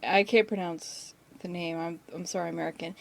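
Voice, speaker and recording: A woman's voice: fairly low pitch, fairly even intonation, brisk, vocal fry, rough recording. A woman giving casual rant commentary as a video maker, with a sarcastic, scolding way of talking.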